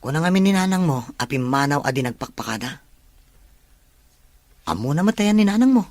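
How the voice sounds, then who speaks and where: Actor as male best friend, frank, cautioning, Ilocano drama, raised pitch, dry sound. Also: lively intonation, moderate pace, darkish tone, dry recording. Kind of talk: Ilocano audio drama acting.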